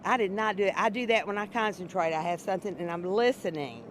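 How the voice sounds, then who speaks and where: A woman serving as a juror, answering interview questions in a loud voice, often restarting and repeating herself. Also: medium pitch, fast talker, slight background noise.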